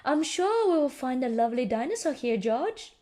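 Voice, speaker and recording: Casting: cheerful, comforting mummy pig for storybook, played by a woman, high and lilting. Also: big pitch swings, moderate pace. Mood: hopeful. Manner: bright, comforting.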